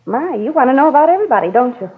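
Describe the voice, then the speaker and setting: A woman's voice: high-pitched, big pitch swings, very fast, slightly rough, full character voice. An actress playing a chatty older female neighbor who rambles on about her cat, in 1950s radio drama style. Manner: rambling, gossipy. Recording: tinny old radio broadcast.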